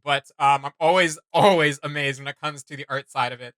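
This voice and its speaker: A man's voice: fairly high pitch, steady tone, fairly quick, a bit bright, no room sound. A man hosting a reaction video, chatting casually and giving blunt, emphatic opinions with a teasing edge.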